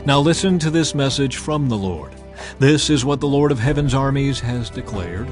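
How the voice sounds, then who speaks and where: A grave old prophet who declaims stern messages, as a male narrator reads him. His voice sits at a middle pitch with soft music behind. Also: lively intonation, normal speed, close to an everyday voice.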